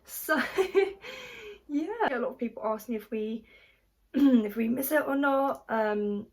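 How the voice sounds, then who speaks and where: A woman's voice: a little high, takes it slowly. A young woman from South Africa who grew up speaking Afrikaans, chatting casually while she does her makeup for a vlog. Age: young adult. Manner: chatty, relaxed, says "literally" and "just" a lot. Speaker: makeup vlogger. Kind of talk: casual makeup tutorial chat.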